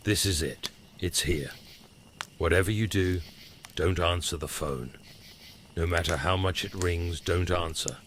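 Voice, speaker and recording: A male storyteller acts out a frightened, ominous man from the future leaving warnings on voicemail. The voice sits very low, in horror story style. A fire crackles faintly behind it. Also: measured pace, a bit bright. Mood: fearful warning. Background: crackling fire ambience.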